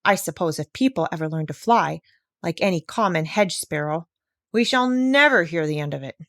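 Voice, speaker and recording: A woman's voice: fairly low pitch, lively intonation, normal speed, fairly bright tone, dry recording, clean studio sound, pitched below the performer's own voice. A woman voicing an opinionated, very old female parrot in a storybook, scornful and exclamatory. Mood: indignant.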